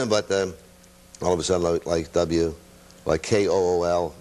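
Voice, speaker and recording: An older man, a veteran radio guest, chatting casually and reminiscing in a halting, self-deprecating, anecdotal way. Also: low-pitched, fairly even intonation, darkish tone.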